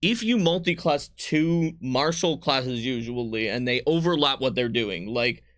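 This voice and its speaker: A man's voice: medium pitch, expressive, measured pace, a bit bright. A man casually explaining tabletop game rules in frank detail, as a designer on a livestream.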